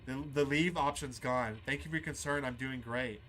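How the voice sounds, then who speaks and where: A man hosting a gaming video, reading game dialogue aloud and musing between lines in a halting, casual way. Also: medium pitch, a bit bright. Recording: clear background noise under the voice.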